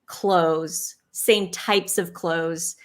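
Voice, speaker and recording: A woman's voice: lowish voice, fairly even intonation, extremely slow. A woman with a West Coast Valley girl accent chatting casually and easily as a guest on a video call. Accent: West Coast American, Valley girl. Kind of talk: casual chat.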